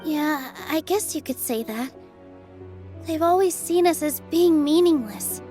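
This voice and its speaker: A woman voicing a gentle, shy young dancer who sounds soft-spoken and unsure of herself, in a video game with music behind her. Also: high-pitched, a little slow. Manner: soft-spoken and self-doubting.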